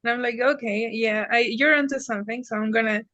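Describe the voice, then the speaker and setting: Lively young Mexican woman, higher voice. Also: brisk, dry recording, dull audio. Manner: lively, animated storytelling. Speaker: student teacher. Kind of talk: exam conversation.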